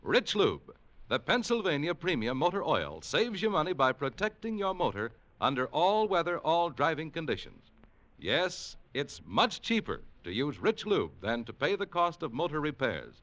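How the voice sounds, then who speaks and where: A polished 1940s radio announcer man reading an ad and the credits. His voice is fairly high, bright and lively, swinging up and down in the old declaiming style. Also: moderate pace, lo-fi sound.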